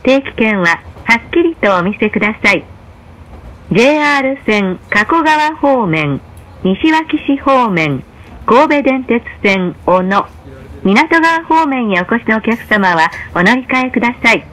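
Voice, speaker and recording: A woman reading scripted train announcements in a formal, measured way. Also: on the high side, big pitch swings, fairly bright tone, rough recording.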